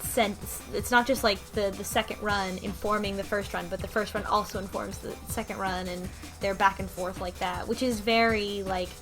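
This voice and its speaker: A woman talking casually on a discussion panel, speculating in loose, animated runs peppered with "like". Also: mid-range voice, normal speed, few pauses. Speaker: book club podcast panelist. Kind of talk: casual discussion of a video game story. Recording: music playing under the talk. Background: background music.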